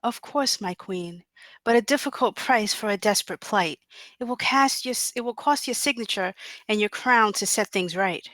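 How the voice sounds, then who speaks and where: A woman's voice: mid-range voice, normal speed, a bit bright, dry recording, lightly acted. A woman playing a scheming fairy godmother in a screenplay table read, speaking in a theatrical, portentous way. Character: scheming fairy godmother, a disguised hag bargaining for a crown.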